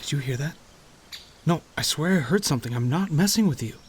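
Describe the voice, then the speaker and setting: A man playing a teasing, warm-hearted young friend in an audio roleplay, conversational and given to playful grumbling. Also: a little high, lively intonation, brisk, a little hiss or hum, close to an everyday voice. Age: young adult. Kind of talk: audio roleplay acting, addressing the listener.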